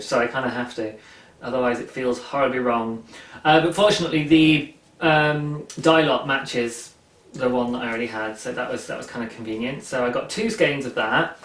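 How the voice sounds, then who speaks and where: A young man talks casually from a sofa, rambling and amused at himself, with lots of ums and little asides. His voice sits at an ordinary middle pitch and sounds a bit distant from the mic. The room gives it a noticeable echo. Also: a bit bright. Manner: chatty, rambling, self-amused, many ums. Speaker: knitting podcast host. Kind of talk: casual chat. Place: living room, seated on a sofa. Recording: echoey room, voice slightly off-mic.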